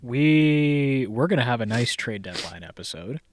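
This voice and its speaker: A man's voice: mid-range voice, expressive, unhurried, a little hiss or hum. A young man co-hosting a casual sports podcast who talks bluntly and swears freely. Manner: blunt and profane. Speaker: podcast co-host.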